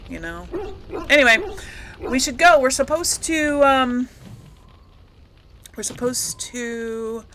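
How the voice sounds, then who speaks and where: A woman's voice: a little high, bright, forceful tone. A woman giving casual, rambling commentary as she plays a game, often correcting herself and lightly amused. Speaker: gaming host.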